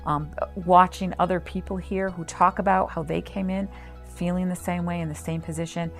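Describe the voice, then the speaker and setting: A woman on staff at a health center, explaining its services in a measured way with frequent pauses, over soft music. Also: lowish voice, flat delivery, gravelly. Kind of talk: promotional interview.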